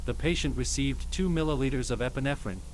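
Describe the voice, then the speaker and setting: A man playing a doctor who dictates a grim case report in a formal, measured, clinical way, as scripted horror narration. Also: mid-range voice, slight background noise, close to an everyday voice, rough recording.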